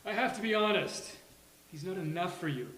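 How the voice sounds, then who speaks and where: A man's voice: high voice, lively intonation, normal speed, a bit bright. A man playing a candid, dryly sarcastic, protective best friend in a live sitcom table read. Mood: earnest, pleading. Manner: dry, earnest, with emphatic outbursts. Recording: roomy live venue with faint hiss.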